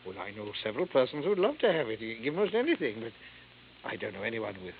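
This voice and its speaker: Fussy, fluttery art dealer, hissy old radio. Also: male voice, medium pitch, sing-song, quick pace, vocal fry. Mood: hesitant, prim. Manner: fluttery, hesitant, nervous little chuckles. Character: fussy, timid, prim male antique art dealer. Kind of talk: 1940s-50s radio acting. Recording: hissy, narrow vintage radio recording.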